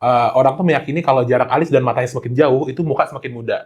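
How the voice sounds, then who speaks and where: A man talking very fast as a guest on a casual podcast. He is a makeup artist who explains his views eagerly and at length, at a middle pitch. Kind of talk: casual chat.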